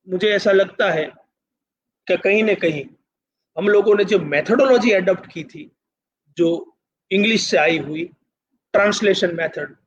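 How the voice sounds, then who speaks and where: High-voiced Gujarati man lecturing, lo-fi sound. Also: slightly rough. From Gujarat, India. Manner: emphatic, repeats phrases in parallel, mixes in English terms. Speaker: university vice-chancellor, speaker. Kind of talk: public lecture, explanatory and persuasive.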